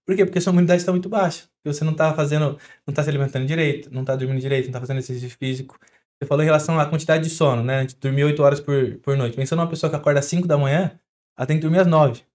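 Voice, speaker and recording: A Brazilian man talks quickly as a podcast guest, with a somewhat raised voice. He explains health habits through homely everyday examples and keeps a chatty, steady flow. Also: on the high side. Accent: Brazilian Portuguese. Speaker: doctor guest. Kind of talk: podcast interview.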